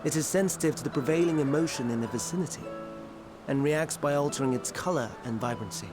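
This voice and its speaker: A man's voice: fairly high pitch, quick pace, music in the background, natural voice. An actor playing an earnest, scholarly male researcher in a video game, explaining in formal, archaic phrasing. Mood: scholarly, explanatory. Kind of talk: scripted video game cutscene acting.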